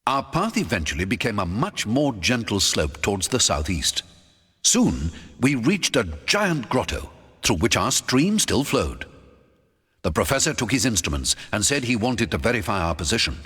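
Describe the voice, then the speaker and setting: A man narrating a first-person adventure audiobook in a theatrical, expressive storytelling manner. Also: on the low side, moderate pace, slightly rough. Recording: long hall reverb.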